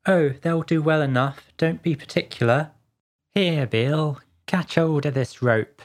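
Woman doing a gruff, low, bossy workman. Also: unhurried. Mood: bossy, busy.

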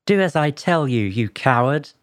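A woman's voice: very deep voice, lively intonation, moderate pace, pitched below the performer's own voice. A woman voicing an angry, bossy male rabbit master in a storybook, scolding and giving imperious orders.

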